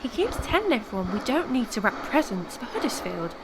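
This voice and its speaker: A woman's voice: on the high side, big pitch swings, fairly quick, background noise. A woman playing an anxious, earnest young elf helper in a radio play. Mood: worried.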